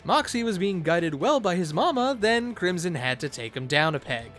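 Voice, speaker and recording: A man's voice: sits high, very animated, moderate pace, a bit bright, soft background music. A man narrating an informal fan video essay, with playful, conversational asides.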